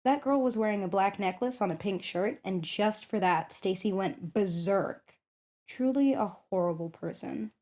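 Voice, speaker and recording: A woman's voice: medium pitch, moderate pace, a little mellow, lightly acted. A woman playing a shy but eager popular schoolgirl in an ASMR roleplay, chatting hesitantly and thinking aloud. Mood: fed up, confiding. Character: shy, eager popular schoolgirl curious about tabletop games. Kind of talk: ASMR roleplay, one-sided conversation.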